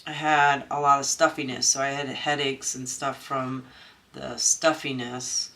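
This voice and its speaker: Stuffy-nosed woman chatting slowly. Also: a bass voice, flat delivery, a bit bright. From Maryland, USA. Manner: rambling, many ums and restarts. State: stuffy, congested nose. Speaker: crafting channel host. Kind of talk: casual chat while crafting. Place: at home.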